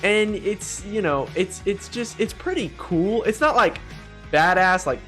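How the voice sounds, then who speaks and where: Animated high-voiced young guy. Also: unhurried, soft background music. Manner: animated, joking, mildly profane. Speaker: gaming video creator. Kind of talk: casual opinionated ranking commentary.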